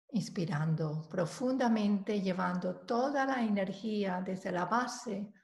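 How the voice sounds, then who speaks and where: Woman, meditation guide, calm and measured, long pauses, gravelly fry, roomy echo. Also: mid-range voice. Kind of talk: guided meditation, slow spoken instruction. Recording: roomy, echoing voice recording.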